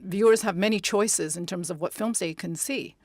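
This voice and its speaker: A woman interpreting live at a conference, speaking in measured, segmented phrases with pauses. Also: medium pitch. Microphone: close interpreter's microphone.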